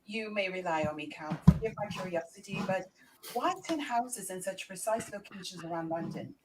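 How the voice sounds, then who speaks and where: A woman's voice: medium pitch, normal speed, very noisy, close to an everyday voice. A woman playing a polite, earnest young English solicitor in an amateur dramatic read, speaking formally. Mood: deferential.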